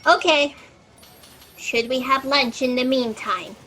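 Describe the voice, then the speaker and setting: A woman playing an anxious, excitable and apologetic fact-checker underling in a sci-fi satire audio drama. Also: high-pitched, slow pace, long reverb tail, a little hiss or hum, exaggerated voice. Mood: flustered, apologetic. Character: flustered, fast-talking, anxious young female fact-checker underling.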